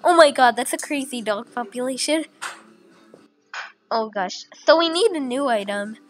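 A woman's voice: high-pitched, animated, moderate pace, faint music behind. A young woman who is sick and sounds a little off, chatting casually with many fillers as she walks through a screen recording. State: sick, voice a bit off. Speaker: channel host.

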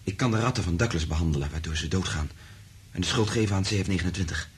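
A conscientious man of science in a radio drama. He is earnest, with a lowish, heavily husky voice. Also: fast. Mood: grave, resolved. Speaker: actor in a radio play. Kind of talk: Dutch radio drama acting.

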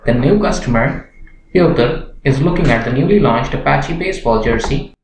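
A young man presenting a software tutorial in a scripted narration style. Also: medium pitch, animated, moderate pace. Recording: roomy echo with background noise, clicks.

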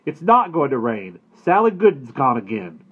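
A gruff, fed-up farmer father, as a storyteller puts him on. His voice is raised well above the narrator's usual pitch and pushed louder. The sound is narrow and phone-like. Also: animated, normal speed. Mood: exasperated. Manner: gruff, disgusted. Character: grumpy, frustrated farmer father.

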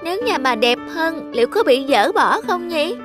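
A kind little girl, curious and polite, played by a woman in a high, eager voice. Music plays clearly behind her in this cartoon. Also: very animated, moderate pace. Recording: music bed under voice.

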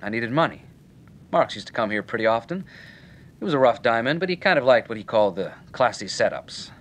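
A man's voice: medium pitch, sing-song, brisk, fairly bright tone. A man playing a breezy, slangy nightclub owner in an old-time radio detective drama, with a wry, colloquial way of talking. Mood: frank.

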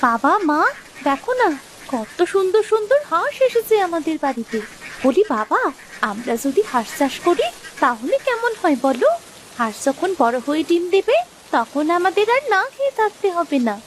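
A woman's voice: very high-pitched, sing-song, moderate pace, slight background noise. A woman voicing an earnest, hopeful young village daughter in a Bengali cartoon story, pleading in a childlike way. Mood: cheerful, comforting, then eager.

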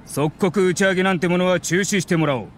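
男性が演じる、ゲームの冷淡な学院の役人。厳しく素っ気ない、見下すような話し方。. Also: male voice, sits high, quick pace, background noise, natural voice. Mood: commanding. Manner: curt, stern, condescending. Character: cold, officious male academy official in his thirties.

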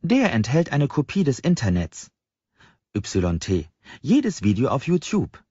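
Man voicing a keen young woman programmer, raised pitch. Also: huge pitch swings, measured pace, dark tone, slightly rough, full character voice. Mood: proud, explaining. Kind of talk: audiobook dialogue. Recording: slightly dull, roomy sound.

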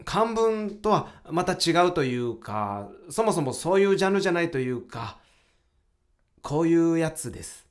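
A man acts out an earnest young aspiring writer in a radio drama. His voice sits high and leaps around in pitch. He is excitable, flustered and quick to complain. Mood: exasperated. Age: young adult. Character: earnest, easily flustered young male aspiring mystery novelist.

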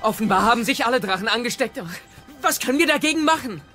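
A young male Viking inventor in an audio drama, earnest and wry. The voice is high and lively, set over music. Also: quick pace, exaggerated voice. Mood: worried.

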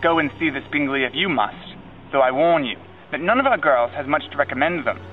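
Sardonic older squire, dry and curt, fairly high voice, as a reader does him, dull audio with music. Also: male voice, expressive, a little fast, lightly acted. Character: dry, sarcastic older country gentleman, stern. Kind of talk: audiobook reading. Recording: lo-fi with music behind.

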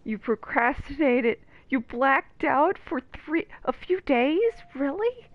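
A woman's voice: high-pitched, animated, takes it slowly, no echo, lo-fi sound. A woman streaming a video game, giving casual, joking commentary as she plays. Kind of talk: casual live gameplay commentary. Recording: stream mic over game music. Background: game music.

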